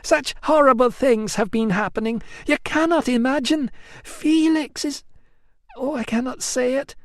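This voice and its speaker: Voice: sits high, measured pace, dry recording, voice pushed up. A narrator voicing a self-pitying young Roman woman who pleads and complains in tearful bursts. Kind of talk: audiobook acting.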